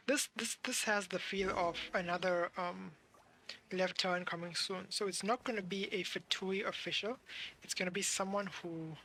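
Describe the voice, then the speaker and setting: A game streamer giving casual live commentary, thinking aloud in halting fragments over game music. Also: mid-range voice, pushed and bright, slight background noise, rough recording.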